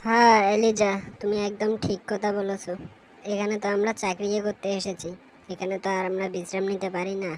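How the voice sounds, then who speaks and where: A woman with a Bangladeshi accent voicing a friendly, coaxing, unhurried male office boss in an amateur cartoon. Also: medium pitch, natural voice. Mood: agreeable. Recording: hissy recording with steady ambient noise.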